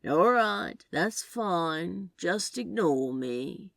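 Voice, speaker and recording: Woman acting sardonic flirty man, low, gravelly, slow drawl, edgy, drolly dramatic, storybook character. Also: lively intonation, a bit bright, dry recording, studio quality, heavily acted. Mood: mock-mournful. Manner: drawling, mock-mournful, sardonic.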